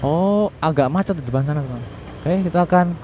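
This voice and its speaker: A man giving casual, unhurried riding commentary as a motovlogger, with little asides to himself and his viewers. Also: fairly high pitch. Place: outdoors, riding a motorbike through city streets. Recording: dull lo-fi audio with clear traffic noise. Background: vehicle engines and street traffic noise.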